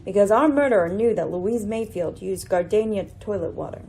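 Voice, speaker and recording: A woman acting a shrewd, commanding lady detective in a radio mystery, speaking in a measured, formal, theatrical way. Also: medium pitch, expressive, dark tone, lightly acted. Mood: assured, deductive.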